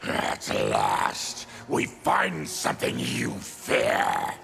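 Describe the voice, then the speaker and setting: Video game monster warrior: deep, wildly swooping growl, defiant and threatening. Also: male voice, huge pitch swings, soft background music, heavily acted. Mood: menacing. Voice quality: gravelly, growling. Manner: slow, forceful, threatening. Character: fierce, defiant monstrous Tarkatan warrior, noble and menacing. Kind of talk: video game dramatic dialogue.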